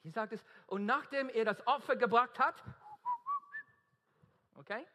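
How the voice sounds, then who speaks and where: Australian preacher in an echoing hall. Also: male voice, very high-pitched, unhurried, sharp tone. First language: English. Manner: earnest, emphatic, searching for words in German. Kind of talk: evangelistic sermon. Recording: long reverb of a hall.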